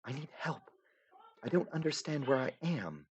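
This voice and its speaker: A man voicing a polite, soft-spoken young troll girl who is lost and lonely, speaking in a hushed, earnest way. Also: on the high side, big pitch swings, moderate pace, husky, lo-fi sound, pitched above the performer's own voice.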